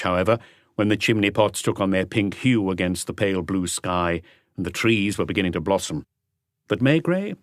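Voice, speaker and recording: Low-voiced man narrating, very dry sound. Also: no echo. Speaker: audiobook narrator. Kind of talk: scripted narration of a mystery novel.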